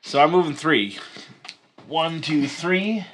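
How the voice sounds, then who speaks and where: A man's voice: a little high, takes it slowly, fairly bright tone. Guy in his late 30s calling out board game moves, chill, bit of hiss behind him. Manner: counts moves aloud and explains rules patiently. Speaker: co-host playing a board game. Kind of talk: casual live-stream chat.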